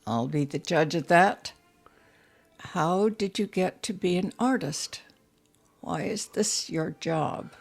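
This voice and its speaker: A woman's voice: lowish voice, takes it slowly, creaky, faint music behind, heavily acted. An actress playing a curious, insistent lady of a big house in an audio drama, talking in a probing, coaxing way.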